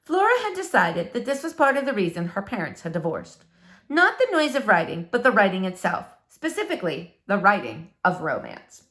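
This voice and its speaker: A woman reading a children's novel aloud as expressive storybook narration. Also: mid-range voice, normal speed.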